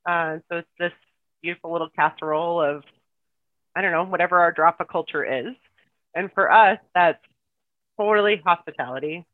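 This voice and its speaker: Heard first is a tinny, phone-like line with a dry, close sound. Over it, a guest raised in the United States talks through stories in a warm, reflective, conversational way. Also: medium pitch, normal speed, long pauses, no echo. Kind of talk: casual podcast conversation.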